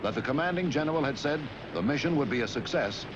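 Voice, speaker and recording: Lively male documentary narrator, band-limited sound. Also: mid-range voice, fast talker, highs cut off, background noise. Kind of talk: scripted historical newsreel narration.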